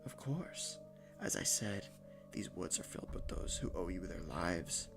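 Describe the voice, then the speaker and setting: A man voicing a hulking male spider monster that speaks in a low, hushed, unhurried way. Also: animated, music in the background. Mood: calmly menacing.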